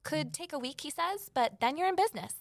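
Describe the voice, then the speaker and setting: An actress playing a determined, resourceful amateur sleuth in an audio drama, brisk and persuasive as she narrates and talks. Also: sits high, animated, vocal fry, no echo. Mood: eager, persuasive. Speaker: narrator and lead character.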